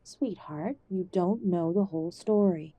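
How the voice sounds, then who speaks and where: Audio drama mother female actor: frail, conciliatory, middle-aged, very dark muted tone, close dry sound. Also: lowish voice, a little slow, slightly rough, no room sound. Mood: gentle, guarded. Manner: soft, pleading, conciliatory. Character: ailing, contrite older mother of estranged werewolf son. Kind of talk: audio roleplay drama acting.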